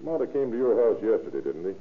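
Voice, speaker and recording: An actor playing a brisk, authoritative, skeptical American police detective in an old-time radio drama, with a dry manner. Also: male voice, fairly low pitch, big pitch swings. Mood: probing. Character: hard-boiled, skeptical male police detective in charge. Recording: tinny old radio recording.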